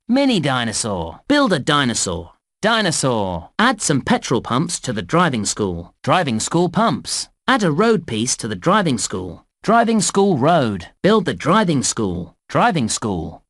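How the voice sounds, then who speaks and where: Cheerful, instructive park guide for a children's computer game; very animated delivery, dry studio sound. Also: male voice, medium pitch, no room sound, full character voice. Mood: clear, instructive. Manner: encouraging, instructive, clearly enunciated. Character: cheerful, encouraging male theme park guide. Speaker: game narrator and tutorial guide. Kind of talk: scripted children's game narration.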